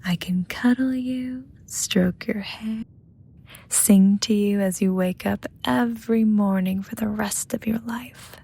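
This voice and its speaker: A woman's voice: mid-range voice, takes it slowly, vocal fry, dark tone, slightly rough, full character voice. A woman voicing a seductive, possessive lust demon in a romantic ASMR roleplay, smug and teasing, often dropping into whispers. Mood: coaxing, intimate.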